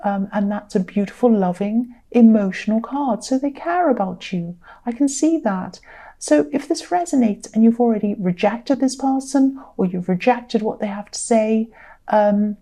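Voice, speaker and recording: A tired woman with a croaky, mellow voice talks through a tarot spread. She speaks earnestly and circles back to repeat her points for emphasis. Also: on the high side, moderate pace. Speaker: tarot reader. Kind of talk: casual tarot reading to camera.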